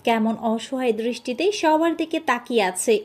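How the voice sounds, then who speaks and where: A woman's voice: fairly high pitch, normal speed, a little mellow. A woman narrating a scripted romantic story as its storyteller.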